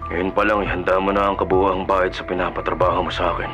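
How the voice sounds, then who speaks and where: Deep-voiced Filipino hired gun, played by a man, grave radio drama delivery over music. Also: flat delivery, quick pace, muffled recording, lightly acted. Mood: cold, businesslike. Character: remorseful hired killer and poor family man.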